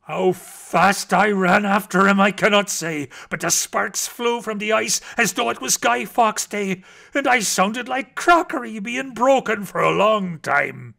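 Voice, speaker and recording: A man's voice: high voice, a little slow, vocal fry, pitched above the performer's own voice, heavily acted. A man reading a storybook as an eccentric explorer uncle recounting Arctic perils in a theatrical manner that savors each word. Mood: urgent, excited. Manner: theatrical, savoring words, swinging from hushed to urgent.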